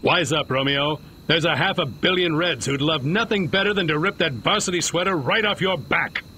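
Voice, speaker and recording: A man with an American accent playing a slick, zealous 1950s government agent in a video game, delivering a brisk hard sell. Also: fairly high pitch, sharp tone, a little hiss or hum. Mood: persuasive.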